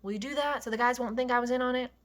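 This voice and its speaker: A woman's voice: medium pitch, brisk, sharp tone. A woman reading the lines of a worried, protective ranch worker, resigned and urgent in turn.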